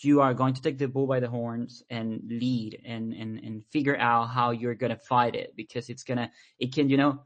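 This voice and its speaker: A man's voice: medium pitch, fairly even intonation, moderate pace, few pauses, muffled recording. Man, rambling guest talk. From Venezuela. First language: Spanish. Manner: rambling, repeats words while thinking. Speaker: podcast guest. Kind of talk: casual conversation.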